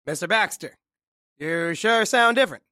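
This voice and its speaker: Suspicious comedy cop, high tense voice, bit of noise. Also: male voice, lively intonation, normal speed, bright, forceful tone, no room sound, clean studio sound. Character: suspicious, gruff-mannered male police officer. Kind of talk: comic audio drama acting.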